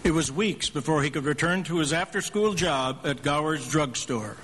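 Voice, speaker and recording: An actor playing a dutiful, businesslike angel who narrates in a crisp, explanatory way in a radio drama. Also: male voice, a little high, moderate pace, noisy background, lightly acted. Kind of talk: live radio drama acting.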